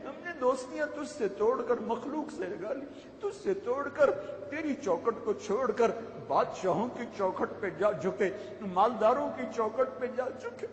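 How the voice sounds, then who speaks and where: A man's voice: very high voice, a little hiss or hum. A man leading a fervent, pleading Ramadan supplication in a mosque, repeating his invocations. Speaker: prayer leader. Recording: echoey hall with faint ambience.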